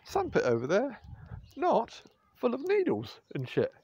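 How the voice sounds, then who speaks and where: A man's voice: very high voice, wildly swooping pitch, unhurried, a little mellow, slightly rough. A middle-aged English man narrating a casual, rambling vlog into his phone in an informal, wry way. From England. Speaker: vlogger. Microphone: phone at arm's length.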